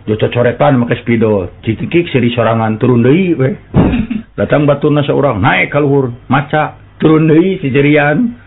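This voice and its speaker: Gravelly Sundanese man telling jokes. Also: normal speed, noisy background. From West Java, Indonesia. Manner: laughs often, jumps into high voices for characters. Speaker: comic storyteller. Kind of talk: joke telling in Sundanese with laughter. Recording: tinny phone-like sound with a live crowd.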